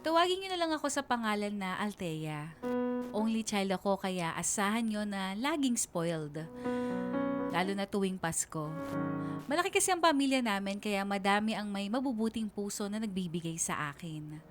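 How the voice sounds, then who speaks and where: A woman's voice: medium pitch, lively intonation, moderate pace. A woman with a Filipino accent telling a scripted first-person story as a radio narrator. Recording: music playing under the voice.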